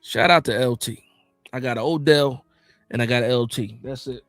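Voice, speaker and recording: An American man hosting a casual football livestream, reading out viewer comments and reacting in slangy, animated, informal talk. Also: mid-range voice, moderate pace, no echo, studio quality, faint music behind. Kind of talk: casual fan chat about football.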